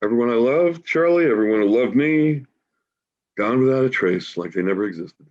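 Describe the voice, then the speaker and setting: Male actor as homeless man in his forties, mid pitch, grieving, warm and wry, halting, conversational stage drama, dull video-call sound. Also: animated, natural voice. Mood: wistful, sorrowful. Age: 40s. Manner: halting, conversational, emotional storytelling. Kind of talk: staged play reading, naturalistic monologue.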